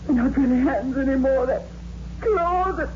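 A woman's voice: high-pitched, moderate pace, lightly acted. An actress playing a terrified, deluded woman who believes she is a killer, tearful and melodramatic in 1960s horror radio style. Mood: hysterical, despairing. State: crying, distraught. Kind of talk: 1960s suspense radio drama acting. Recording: muffled old radio recording, clear hiss.

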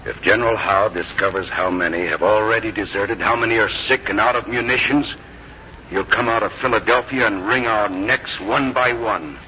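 An actor playing a grave, resolute army commander, speaking in an earnest, oratorical way in 1950s radio drama style. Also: male voice, mid-range voice, bright, forceful tone. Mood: stern, measured. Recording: muffled, noisy 1950s radio recording.

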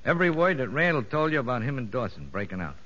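An actor playing a shrewd, streetwise convict ringleader in a 1950s radio drama, sly and slangy. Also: male voice, mid-range voice, sing-song, fast, a bit bright. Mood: sly, confiding. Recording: echoey, dull vintage radio recording.

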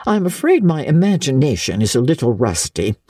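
A crusty old lady of a classic storybook, acted by a woman, with a husky voice and wide swoops of pitch. She is blunt, stern and old-fashioned in the way she talks. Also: very animated, a little fast, mellow, no echo, studio quality, heavily acted. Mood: grudgingly amused.

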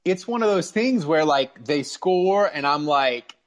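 A man's voice: fairly high pitch, animated, unhurried. A man hosting casual sports talk, telling stories in a rambling, repetitive way and acting out reactions. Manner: rambling, repeats words, acts out remembered reactions, swears. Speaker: sports talk show host. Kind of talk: casual sports chat and storytelling.

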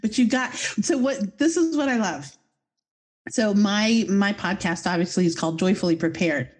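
Woman podcast host, mid-pitched, gushing and playful in a casual chat. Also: normal speed. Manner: effusive, a little goofy.

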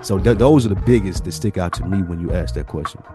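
A man with a lowish voice talks quickly over clearly audible music. He is casual and slangy, rambling through stories in a direct, conversational podcast style. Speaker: podcast co-host. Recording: music playing behind the voice.

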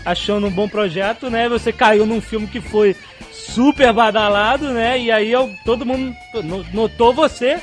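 High-voiced Brazilian man, eager podcast host. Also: moderate pace, faint music behind. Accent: Brazilian Portuguese. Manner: eager, agreeing, informal. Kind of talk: casual podcast interview chat.

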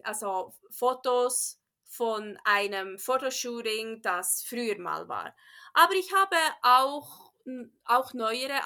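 A woman with a Swiss accent speaks slowly and haltingly, her tone bright and clear. Her first language is Bernese Swiss German, so she often searches for words and drops in English phrases. She is chatting casually as an interview guest. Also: high-pitched. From Switzerland. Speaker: podcast guest.

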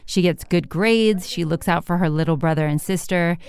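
Low-voiced woman narrating a radio report. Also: a little mellow. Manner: measured, clear storytelling delivery.

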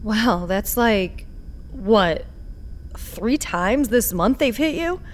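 Slow, swooping, sardonic woman fixer. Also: medium pitch. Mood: wry. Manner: sardonic, unhurried, expressive. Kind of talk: audio fiction acting, noir sci-fi.

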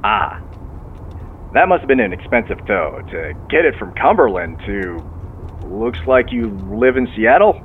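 A man's voice: fairly low pitch, sing-song, measured pace. A man playing a genial sheriff's officer heard over the phone, folksy and courteous as he methodically probes, in audio drama dialogue. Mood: friendly, probing. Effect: phone line filter. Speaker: law enforcement officer. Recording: tinny phone line, car noise.

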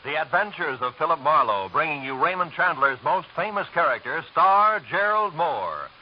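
Crisp old-time radio announcer, man. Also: on the high side, lo-fi sound. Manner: crisp, measured, declamatory. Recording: hissy old radio broadcast.

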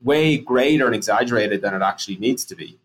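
A man with a middle-pitched voice talks through ideas in a loose podcast conversation. He gets animated, stacking rhetorical questions and voicing what colleagues might say. A faint hiss sits under his voice. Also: quick pace. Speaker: podcast co-host discussing product.

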